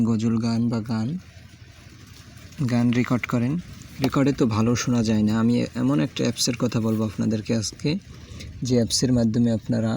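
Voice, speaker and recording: A man hosting a casual app tutorial, explaining haltingly step by step. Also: medium pitch, dark tone. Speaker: tutorial host.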